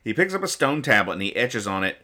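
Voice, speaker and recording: A man's voice: lowish voice, very animated, quick pace, pushed and bright. A man hosting a comedy podcast, casually reading out and explaining comics in a rambling, mock-earnest way. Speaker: podcast host.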